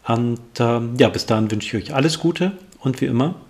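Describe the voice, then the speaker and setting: A man's voice: mid-range voice, normal speed, darkish tone, a little hiss or hum. A man hosting an interview podcast in casual talk, polite and informal with some hesitant phrasing. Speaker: podcast host.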